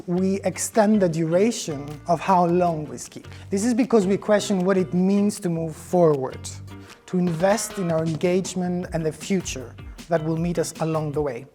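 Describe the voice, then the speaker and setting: Mellow Greek-accented man teaching dance. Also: high-pitched. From Greece. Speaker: choreographer, dance instructor. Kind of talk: scripted tutorial narration.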